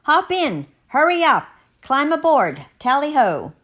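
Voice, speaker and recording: A woman's voice: mid-range voice, animated, takes it slowly. A woman voicing a jolly, bustling old man who flies a balloon, with an exuberant, declamatory manner in rhyme, as in a storybook. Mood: brisk, jolly.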